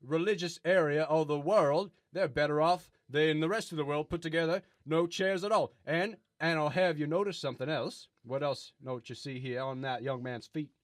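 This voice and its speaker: Man playing a stammering, zealous crackpot preacher, higher-voiced. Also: normal speed, hardly any pauses, dry recording, clean studio sound, natural voice. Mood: insistent. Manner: stammering, emphatic, repeats himself. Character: ranting conspiracy-preaching male pastor, folksy and indignant. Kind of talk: improvised radio comedy sketch.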